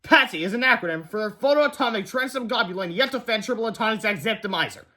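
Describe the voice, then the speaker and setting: A man's voice: sits high, animated, fast talker, pushed and bright, voice pushed up, full character voice. A man voicing an eager, boastful boy inventor who lectures grandly about his gadget in a children's storybook. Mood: proud, boastful.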